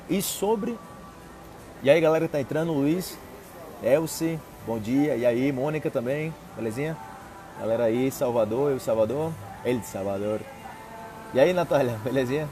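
A man's voice: medium pitch, background noise. A young Brazilian man with a Brazilian Portuguese accent, a Portuguese teacher chatting casually to viewers, checking in often with "ok?". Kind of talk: casual live-stream chat. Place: outdoors on a city street with trees. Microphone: earphone mic on a phone held close.

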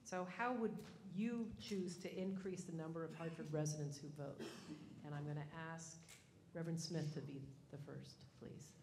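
A middle-aged woman moderating a candidate forum, brisk and businesslike. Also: deep voice, steady tone, vocal fry, darkish tone. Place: library meeting room. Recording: roomy hall with faint noise.

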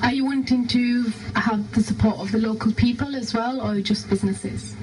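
A woman's voice: fairly high pitch, steady tone, moderate pace, a little mellow. A woman working as a radio interviewer, putting prepared questions to a guest. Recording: distant mic with background noise.

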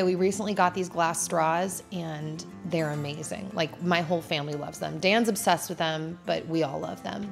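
Chatty woman with a gravelly fry. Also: on the low side. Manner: chatty, laid-back, with casual fillers. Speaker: vlogger. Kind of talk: cooking vlog voice-over. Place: home, indoors.

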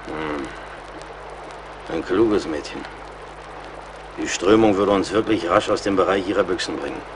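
An actor in a German radio play as a seasoned, relentless frontier scout, sardonic, terse and loyal. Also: male voice, sits low, measured pace. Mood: sober, resolute. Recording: clear battle and outdoor noise behind.

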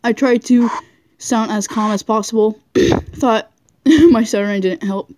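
A woman's voice: a little high, measured pace, noisy background. A woman doing a casual, goofy read-aloud of fan fiction, giggly and often stumbling over her words. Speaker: amateur reader of fan fiction.

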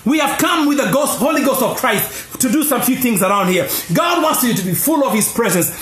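A man preaching a sermon with passion, often shouting as his voice climbs. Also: lively intonation, brisk. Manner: impassioned, often shouting and rising in pitch. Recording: roomy echo with faint music behind.

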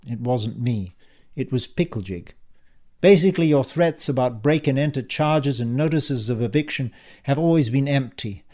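Man acting a cheeky, calm, taunting schemer. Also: mid-range voice, normal speed, close to an everyday voice. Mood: smug. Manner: calm, mockingly polite, taunting. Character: cheeky, calm male schemer, mockingly polite and confident. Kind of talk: audiobook character dialogue.

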